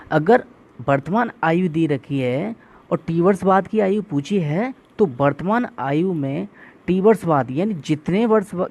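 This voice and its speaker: A man's voice: high voice, warm tone. A male maths teacher giving an exam-prep lesson, explaining step by step, asking questions and answering them himself, and calling viewers friends.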